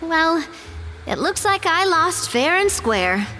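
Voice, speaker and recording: A woman's voice: very high voice, very animated, slow, bright, forceful tone, faint music behind, full character voice. An actress playing a confident young woman cooking rival with a breezy, playful manner. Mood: resigned.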